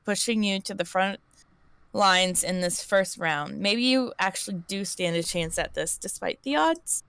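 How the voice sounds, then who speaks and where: A woman's voice: mid-range voice, fairly bright tone, smooth, no echo. A woman streamer reading an interactive story aloud, often restarting words she misreads. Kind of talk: live-stream story narration.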